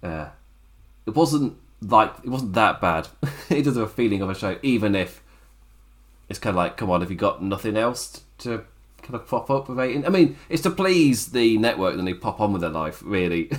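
A man with a strained, raspy voice hosting a casual wrestling review podcast, rambling with frequent chuckles and self-corrections. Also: fairly low pitch, lively intonation, normal speed. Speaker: wrestling show host.

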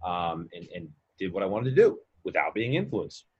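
A man's voice: low voice, very animated, moderate pace, slightly rough, lo-fi sound. A man in his late twenties, a mindset coach and guest, talking casually in a rambling way with many fillers and repeated words. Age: 20s. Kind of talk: casual podcast conversation.

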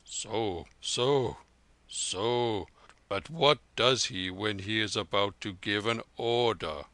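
A man reading aloud, voicing a stiff old retired soldier who speaks slowly and gravely. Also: lowish voice, a bit bright, dry recording. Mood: awed.

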